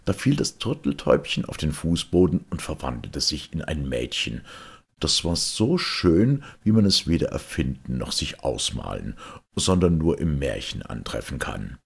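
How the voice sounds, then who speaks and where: A man reading a fairy tale as an audiobook narrator, in an expressive, theatrical storytelling manner. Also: very deep voice, gravelly, breathy edge. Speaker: audiobook reader.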